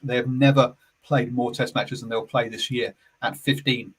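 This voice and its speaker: An English man hosting a casual sports chat on a live stream, calm and easygoing, halting with many fillers and self-corrections. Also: medium pitch, slight background noise, rough recording. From England. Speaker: sports radio host.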